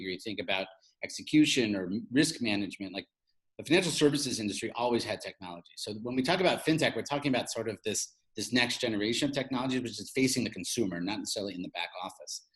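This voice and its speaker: A man's voice: fairly low pitch, lively intonation, fast talker, rough recording. A man speaking as an interview guest, explaining his field in an informal, conversational way. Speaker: interview guest, fintech media founder.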